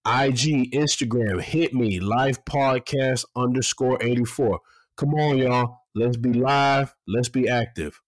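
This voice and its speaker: A man's voice: medium pitch, slow pace, dry recording. Laid-back man in his late thirties. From Florida. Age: 30s. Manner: laid-back, slangy, laughs often. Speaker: podcast host. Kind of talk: casual podcast chat. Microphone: video call.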